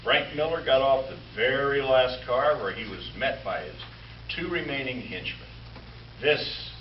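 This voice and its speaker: A man playing a wry comedian who narrates a mock western as its hero, deadpan with dramatic pauses, in an old-time radio comedy staged live. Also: mid-range voice, natural voice. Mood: tense, solemn. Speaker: amateur radio-show player. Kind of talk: 1950s radio comedy re-creation, narrated monologue. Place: live stage show before an audience. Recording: echoey, noisy hall from far off, muffled.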